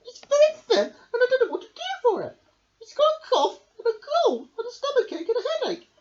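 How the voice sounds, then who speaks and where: A reader voicing a worried tinker's wife, a poor woman, in a shrill fretful squeal that sounds half laughing, half sobbing. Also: wildly swooping pitch, a little fast, darkish tone, lo-fi sound, full character voice. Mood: fretful, wailing.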